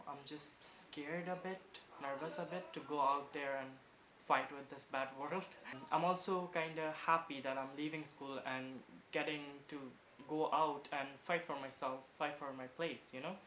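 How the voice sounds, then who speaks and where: A woman's voice: low voice, steady tone, moderate pace, a bit bright. An eighteen-year-old woman, not a native English speaker, answering interview questions thoughtfully with some hesitation. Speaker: school leaver interviewee. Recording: echoey room with background noise.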